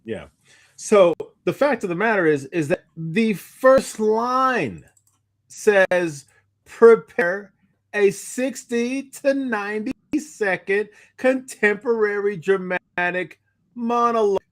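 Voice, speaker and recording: Animated, rambling man chatting casually. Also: high-pitched, slow pace. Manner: animated, rambling, stumbling over repeated starts. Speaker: podcast co-host. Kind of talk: casual chat, recounting anecdotes.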